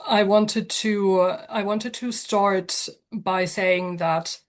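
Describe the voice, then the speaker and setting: A woman lecturing over a video call, her voice a little croaky from a cold. She speaks in a flat, even tone at a lowish pitch. Her delivery is careful and measured, with the odd restart. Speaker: guest speaker. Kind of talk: online lecture.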